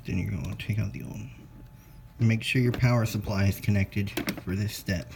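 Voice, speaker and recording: A man presenting a casual step-by-step product demonstration in a halting, plain-spoken way. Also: fairly low pitch, steady tone. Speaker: presenter of a how-to video. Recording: clear background noise with clicks.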